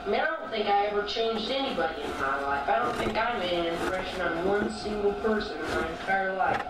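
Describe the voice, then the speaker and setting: A gloomy, resigned dead man acted by a man talks haltingly in an amateur drama. The sound is very echoey and far from the mic, with loud noise throughout. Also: high voice, lively intonation. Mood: dejected. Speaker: amateur actor in a hobby film. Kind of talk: slow improvised-sounding drama dialogue. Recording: noisy, echoey, distant homemade tape sound.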